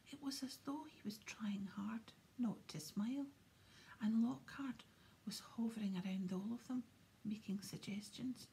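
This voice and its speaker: A woman reading a story aloud in a soft, unvoiced whisper. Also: mid-range voice, a little hiss or hum, poor audio. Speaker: audiobook reader.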